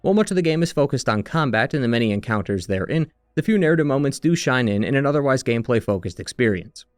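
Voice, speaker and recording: Guy reading a scripted game retrospective, average pitch, really dry sound with no room on it. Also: animated, fast talker, smooth, no echo. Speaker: narrator of a video game retrospective. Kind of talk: scripted video essay narration.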